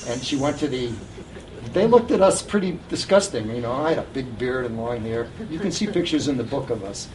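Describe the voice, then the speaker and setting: A man's voice: mid-range voice, expressive, fairly quick, darkish tone, recorded from a distance, a little hiss or hum, poor audio. An older man telling informal anecdotes to a live audience, recorded at an event. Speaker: guest storyteller at a reunion event.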